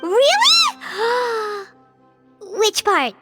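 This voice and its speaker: Squeaky, excitable little fairy girl. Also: extremely slow, loud music behind the voice, full character voice. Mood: excited. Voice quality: squeaky, childlike. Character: small excitable childlike floating fairy companion girl. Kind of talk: video game voice acting.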